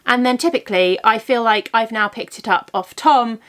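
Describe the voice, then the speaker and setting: A woman's voice: on the high side, moderate pace, fairly bright tone. A woman in her thirties chatting casually in a vlog, a little congested from a cold, rambling with lots of ums and self-corrections. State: congested, blocked ear from a cold. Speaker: vlogger, yarn dyer.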